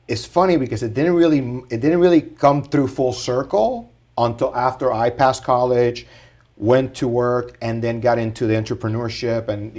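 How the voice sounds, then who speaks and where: A middle-aged man from Puerto Rico, a guest on a podcast, telling his life story in an earnest, animated way. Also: mid-range voice. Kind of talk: casual interview storytelling.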